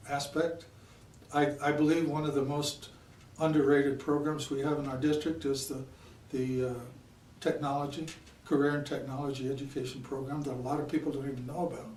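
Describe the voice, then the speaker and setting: A man applying for a school board seat answers at a public forum in measured, short phrases. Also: mid-range voice, a little mellow. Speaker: school board applicant, former teacher. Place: indoor public forum room. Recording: echoey room, distant mic, faint noise.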